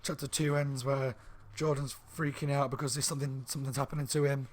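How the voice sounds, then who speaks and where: A slightly hoarse man reviewing a book in a rambling, casual chat at home, with lots of ums and restarts. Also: medium pitch, level tone, quick pace. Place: room at home by a window on a main street.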